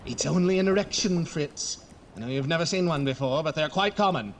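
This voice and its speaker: A man's voice: fairly high pitch, fairly quick, natural voice. A man playing a pompous, irritable young mad scientist in a comedic horror audio drama, theatrical and easily exasperated. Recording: noisy, echoing hall reverb, clicks.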